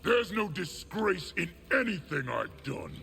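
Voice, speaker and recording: An actor playing a haughty, highborn rebel general in a video game, forceful and coldly righteous. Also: male voice, big pitch swings, unhurried, creaky, exaggerated voice. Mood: haughty, menacing. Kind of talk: video game villain dialogue. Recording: long hall reverb, faint noise.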